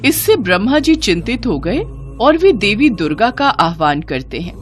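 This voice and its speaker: A woman's voice: mid-range voice, big pitch swings, moderate pace. A woman narrating a scripted devotional story as a storyteller. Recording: music behind the voice.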